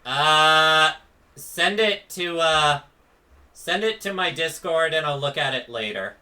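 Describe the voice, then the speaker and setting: A man's voice: fairly high pitch, expressive, slow pace, bright, forceful tone, slight background noise. A man giving casual live commentary on a video game and chatting with viewers. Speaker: streamer reacting to a video game. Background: game music.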